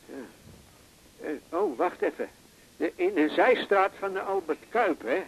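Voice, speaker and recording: A man's voice: high voice, very animated, a little slow, gravelly, breathy edge, full character voice, rough recording. An actor playing a chatty, boastful, jovial elderly male patient in a radio drama, with a folksy way of talking. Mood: puzzled, chatty. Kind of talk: naturalistic radio drama acting.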